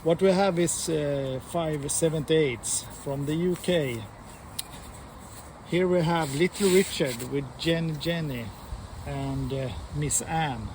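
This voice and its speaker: Slow-talking man with a higher-pitched voice. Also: noisy background. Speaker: record collector host. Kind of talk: casual showcase vlog talk.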